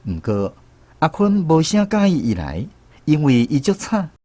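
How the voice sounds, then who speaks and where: A man's voice: a little high, wildly swooping pitch, measured pace, darkish tone, full character voice. A man with a Taiwanese accent playing a reflective storyteller who narrates a radio drama. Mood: reflective narration. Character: reflective male storyteller recalling apprentice days. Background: guitar music.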